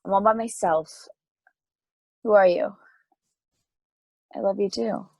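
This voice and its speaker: Woman voicing frightened space probe, stage play reading, faltering, imploring, a little rough-edged, dry sound. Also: mid-range voice, takes it slowly, mellow, no room sound. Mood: lonely, confused. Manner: pleading, hesitant. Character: lonely, frightened, confused space probe pleading for contact. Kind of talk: amateur play table read.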